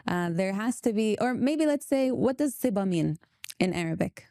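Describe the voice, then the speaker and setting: A woman hosting a conversational podcast interview in a polite, gently probing way. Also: medium pitch, animated, normal speed, no room sound, clean studio sound. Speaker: podcast host.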